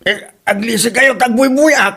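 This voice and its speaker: An actor playing an excitable, thrifty husband who bickers in a comedy drama, with music behind him. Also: male voice, very high-pitched, a little slow, gravelly, husky, exaggerated voice. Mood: animated. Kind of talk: comedy radio-style drama acting.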